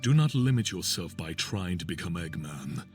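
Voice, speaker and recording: An actor playing a stern, proud villain warlord in a comic dub, speaking formally and with authority. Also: male voice, deep voice, expressive, moderate pace, slightly rough, faint music behind. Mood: persuasive. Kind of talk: dramatic comic dub acting.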